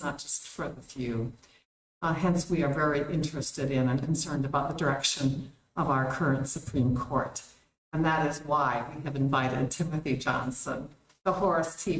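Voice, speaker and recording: A woman's voice: sits low, slight background noise. A woman organizer making announcements and introducing a guest speaker, informal with frequent ums. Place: community meeting hall. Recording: roomy hall.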